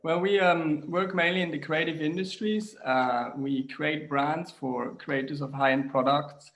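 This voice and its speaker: Man from Switzerland, English speaker, halting speech full of ums, home kitchen, video call. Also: on the high side, steady tone, a bit bright, a little hiss or hum, rough recording. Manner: hesitant, many fillers and restarts. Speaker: designer, interview guest. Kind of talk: casual video-call interview.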